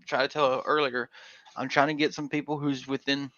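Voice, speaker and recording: A man's voice: mid-range voice, little pitch movement, moderate pace, sharp tone, dry recording. A man from Georgia hosting a casual livestream panel, talking in a rambling, folksy way with lots of fillers. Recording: dull lo-fi dry stream audio.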